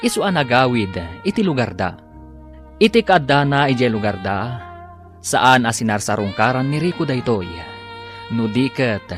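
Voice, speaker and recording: A man's voice: medium pitch, fairly bright tone. A man with an Ilocano accent narrating a radio drama as its announcer, in a dramatic scripted style. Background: music.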